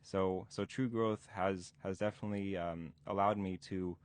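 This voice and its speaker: A twenty-year-old man, a DJ instructor, telling his story in a halting way with many restarts and fillers. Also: sits low, fairly even intonation, clear, resonant tone, no room sound. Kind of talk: recorded interview recollection. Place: museum interview room.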